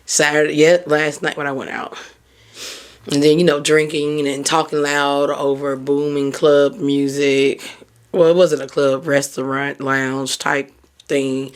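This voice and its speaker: A hoarse woman hosting a podcast, talking in a candid, encouraging way and peppering her speech with "like" and "you know". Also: low voice. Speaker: podcast host. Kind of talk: casual motivational talk.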